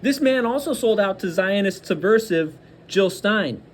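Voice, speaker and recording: A man's voice: high-pitched, animated, a little slow, slight background noise. A man giving angry, combative political commentary, hurling insults and hammering points through repetition. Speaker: host of a political commentary show.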